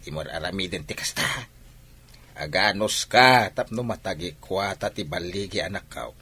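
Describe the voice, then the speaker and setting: A man's voice: deep voice, normal speed, sharp tone, slightly rough, heavily acted. A man playing a fussy, scheming, money-minded middle-aged father in an Ilocano-accented radio drama. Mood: fretful.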